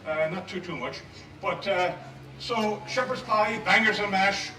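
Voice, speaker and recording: A middle-aged Irish man, a native Gaelic speaker, demonstrating a dish live as a chef and pub owner, talking in a relaxed, rambling way with many fillers. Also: high-pitched, unhurried. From Ireland. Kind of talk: live cooking demo at a festival. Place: outdoor festival stage. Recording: echoey outdoor stage mic from far off, crowd noise.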